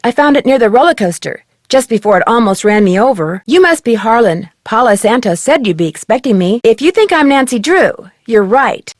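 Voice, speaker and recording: A woman's voice: a little high, no room sound, clean studio sound, natural voice. A woman playing an eager, polite young amateur detective in an adventure game, with a perky, inquisitive, scripted delivery. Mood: polite, confident. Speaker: game protagonist and tutorial guide.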